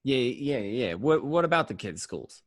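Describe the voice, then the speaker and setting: A man playing a weary mobster turned informant, telling his story in a conversational, streetwise, halting way. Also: medium pitch, sing-song, no echo, studio quality. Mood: irritated. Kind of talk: first-person crime narration, acted table read.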